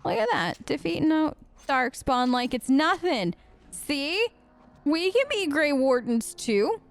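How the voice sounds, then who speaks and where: A woman's voice: sits high, very animated, slow, slight background noise. A woman hosting a let's play, chatting casually and playfully while role-playing her character.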